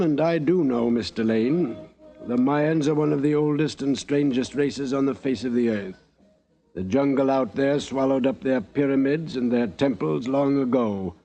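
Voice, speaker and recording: A male actor plays a mysterious scholarly archaeologist from a vintage radio adventure. His voice is dark and mellow, with formal, deliberate, portentous delivery. Faint music sits behind the old recording. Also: mid-range voice, natural voice. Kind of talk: old-time radio drama acting.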